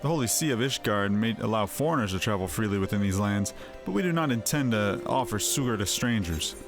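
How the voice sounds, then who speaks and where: A man's voice: lowish voice, lively intonation, fairly quick. Man voicing a haughty fortress commander over music. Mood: scornful. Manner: formal, disdainful. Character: haughty, gruff male commander guarding a fantasy fortress. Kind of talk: acted fantasy game dialogue. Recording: music behind the voice.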